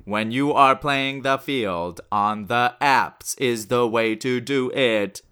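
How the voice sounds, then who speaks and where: A man's voice: medium pitch, takes it slowly, bright, forceful tone, smooth. A synthetic male computer voice playing a crude, smug, defiant son of a genius, with stilted, formal wording. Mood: self-important. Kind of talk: scripted comedy character bit.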